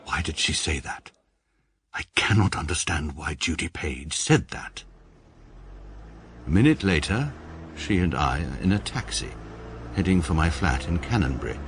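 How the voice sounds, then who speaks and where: An actor playing a pompous, precise middle-aged English barrister, narrating his own life deadpan in an upper-class accent for a radio comedy drama. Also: extremely low pitch, normal speed, pauses often, a little hiss or hum, full character voice.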